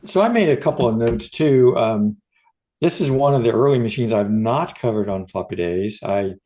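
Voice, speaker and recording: A man's voice: mid-range voice, lively intonation, normal speed, mellow, low-quality recording. A man co-hosting a podcast in casual, unscripted conversation, tentative and often searching for his words. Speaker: podcast co-host.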